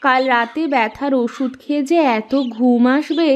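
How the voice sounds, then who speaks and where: A woman plays a cautious village housewife in a folk-tale cartoon. Her voice is high, homely and gently nagging. The sound is dull and lo-fi. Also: normal speed, close to an everyday voice. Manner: homely, chiding.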